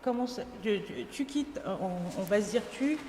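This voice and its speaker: Lively woman moderator in an echoey hall. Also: mid-range voice, moderate pace. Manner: guiding, lively, rephrases her questions. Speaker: moderator, interviewer. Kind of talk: event moderating and interviewing. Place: large event hall. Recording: echoey hall, faint room noise.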